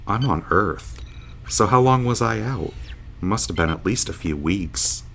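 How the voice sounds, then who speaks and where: A man's voice: lowish voice, animated, measured pace, creaky, fairly bright tone, soft background music, heavily acted. A man playing a dazed, wisecracking starship officer in an audio drama, blunt and sardonic. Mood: confused, blunt. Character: dazed, wisecracking male starship officer waking from long stasis.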